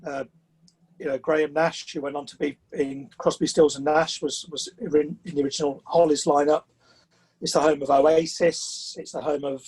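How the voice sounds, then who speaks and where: A man's voice: mid-range voice, warm tone, husky, rough recording. A man of sixty from the south of England with a southern English accent, chatting and telling anecdotes as a podcast interview guest. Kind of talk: conversational interview storytelling.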